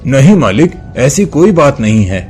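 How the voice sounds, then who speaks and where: A man's voice: medium pitch, sing-song, normal speed, darkish tone, slight background noise, lightly acted. A man voicing an ailing, worried, humble working father in a moral story, earnest and pleading. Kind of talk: animated moral-story voice acting.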